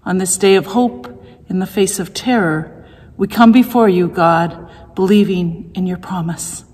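A middle-aged woman leads worship from a room at home, her prayers calm and measured. Her voice is a little husky, and a long reverb trails after her words. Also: on the low side. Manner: calm, measured liturgical reading. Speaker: worship leader. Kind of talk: leading a church service.

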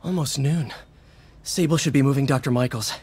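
A man's voice: mid-range voice, fairly quick, darkish tone, husky, natural voice. An actor playing an earnest, friendly young man in a video game, with a casual, upbeat manner. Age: young adult.

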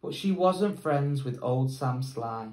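Slow young English teacher reading aloud. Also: male voice, medium pitch, lively intonation, darkish tone, reverberant. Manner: slow, measured storytelling. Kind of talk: picture book read-aloud. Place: plain indoor room.

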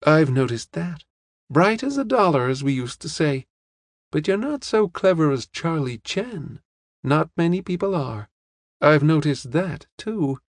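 A man's voice: a little high, sing-song, measured pace, dry recording, studio quality, pitched above the performer's own voice, exaggerated voice. A man voicing a shrewd, kindly old lady in an audiobook, plain-spoken and knowing.

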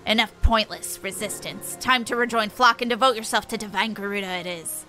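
A woman's voice: medium pitch, fairly quick, pushed and bright, faint music behind, voice pushed down, close to an everyday voice. A woman voicing a cruel, bullying bird-man chieftain from a fantasy game, taunting in inverted word order with squawks. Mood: gloating, menacing.

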